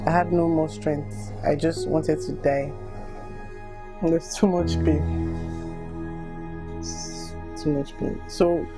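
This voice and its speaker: A woman with a Nigerian accent, reading personal accounts aloud and speaking candidly about them. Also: deep voice. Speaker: volunteer reader reacting to written accounts. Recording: music bed under the voice. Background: music.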